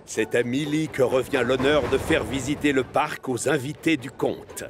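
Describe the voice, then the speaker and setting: Man narrating a kids cartoon story, medium pitch, soft music underneath. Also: fairly even intonation, moderate pace.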